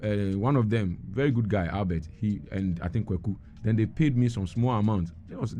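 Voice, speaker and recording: A man's voice: fairly low pitch, a little fast, dark tone. A middle-aged man who grew up in Nigeria, a guest in a radio interview, telling his story earnestly with many ums and restarts. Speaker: guest entrepreneur.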